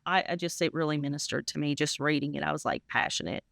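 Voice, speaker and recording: A woman's voice: low-pitched, fast, vocal fry, a bit bright, dry recording, studio quality. A woman interview guest giving casual personal testimony in an earnest, emphatic way.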